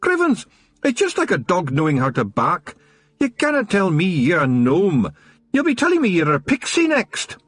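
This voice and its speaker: A man voicing a small, wild Scottish fairy chief, a cheeky rogue with a hoarse, whispery voice and a boisterous manner. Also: sits high, wildly swooping pitch, moderate pace, a bit bright, dry recording, pitched above the performer's own voice, full character voice. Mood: mocking.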